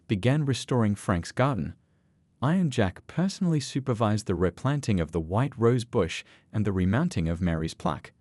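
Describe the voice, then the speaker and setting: A man's voice: lowish voice, a little mellow, dry recording. A man narrating a scripted dramatic story.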